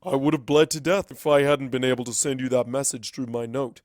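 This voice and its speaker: A weary storybook wizard stallion, played by a man, grave and firm. He is a resolute father with a middle-pitched voice, heard in a close, dry recording. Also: fairly even intonation, brisk, a little mellow, no echo, lightly acted.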